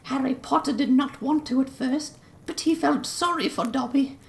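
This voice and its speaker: A man voicing an eager, pleading male house elf with a squeaky, breathless voice who speaks of himself in the third person. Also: normal speed, creaky. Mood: earnest. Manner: talks of himself in third person, says sir often.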